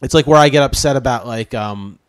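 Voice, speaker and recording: Man, early forties, mid-pitched voice, earnest, hesitant, lots of fillers, dry sound. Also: a little fast, no room sound. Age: 40s. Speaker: comedian and podcast co-host. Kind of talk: casual chat.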